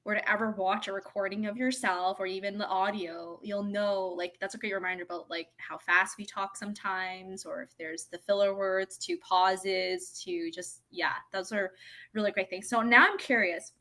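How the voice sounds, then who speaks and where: A woman's voice: medium pitch, steady tone, moderate pace, sharp tone. Woman host, casual and chatty, asks curious questions with lots of "like" and "um.". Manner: chatty, curious, filler-heavy. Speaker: interview host. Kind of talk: casual interview.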